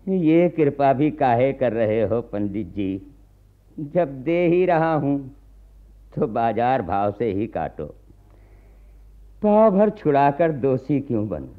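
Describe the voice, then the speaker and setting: An actor playing a poor, burdened peasant farmer in a radio play, pleading and bitterly resigned. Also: male voice, mid-range voice, big pitch swings, slow pace, long pauses. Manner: pleading, aggrieved, weary sarcasm. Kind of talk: Hindi radio play acting. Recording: very muffled, narrow-band archival radio recording.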